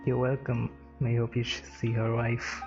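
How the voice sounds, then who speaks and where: A woman's voice: very deep voice, completely flat delivery, moderate pace. A woman gaming streamer giving casual commentary over a playthrough. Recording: dull mic, game music behind.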